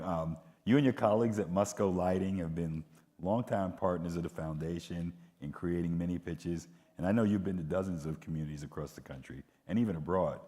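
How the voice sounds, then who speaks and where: A man's voice: very deep voice, warm tone. A man moderating a conference panel, talking conversationally with frequent fillers. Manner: conversational, fillers between phrases. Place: large convention hall. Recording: reverberant hall sound.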